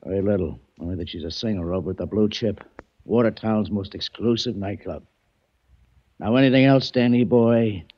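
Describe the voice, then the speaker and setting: An actor playing a gruff police lieutenant in a 1940s radio drama, curt and mocking. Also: male voice, on the low side, normal speed, natural voice. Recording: dull old radio recording.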